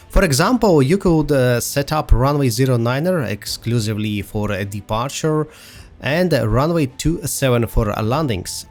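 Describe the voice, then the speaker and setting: A man presenting a semi-scripted software promo narration in a halting way, with frequent pauses. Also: mid-range voice. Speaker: presenter of a software roadmap video. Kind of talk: semi-scripted promotional narration over a flight simulator demo. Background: music.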